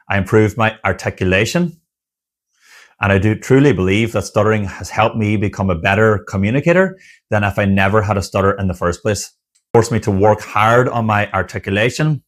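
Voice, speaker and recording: Earnest low-voiced man encouraging viewers. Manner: earnest, encouraging, speaks in repeated list phrases with pauses. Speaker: online community founder and stuttering coach. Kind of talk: motivational talk to camera.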